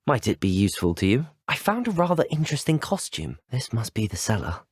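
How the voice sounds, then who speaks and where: Teenage wizard student, courteous and inquisitive, slightly husky, lively intonation, video game dialogue. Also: male voice, medium pitch, brisk, no room sound, clean studio sound. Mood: polite, curious. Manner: well-mannered, formal, articulate phrasing. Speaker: video game player character. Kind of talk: scripted video game voice lines.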